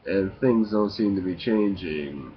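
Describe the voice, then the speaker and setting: A man in his late twenties giving a casual, confessional vlog monologue, with halting, stop-and-start phrasing. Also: deep voice. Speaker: video blogger. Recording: muffled lo-fi with faint hiss.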